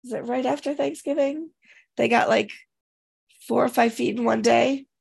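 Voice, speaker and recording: Raspy, congested woman chatting. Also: on the high side, steady tone, normal speed, a little mellow. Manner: chatty, rambling, with many restarts and fillers. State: hoarse and congested after illness. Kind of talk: casual chat.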